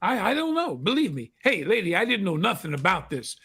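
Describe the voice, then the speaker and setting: A man's voice: high-pitched, very animated, fairly quick, vocal fry, bright, forceful tone, husky, heavily acted. A man playing an evasive, nervous shopkeeper in a vintage radio drama, curt and stammering with streetwise speech. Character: evasive, nervous, defensive male secondhand clothes dealer.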